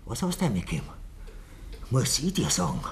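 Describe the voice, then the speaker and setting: A man's voice: medium pitch, huge pitch swings, fairly quick. An actor playing a guilty, bullying village man with a Bavarian accent, badgering with taunting questions in a 1950s dialect radio play. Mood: taunting, needling.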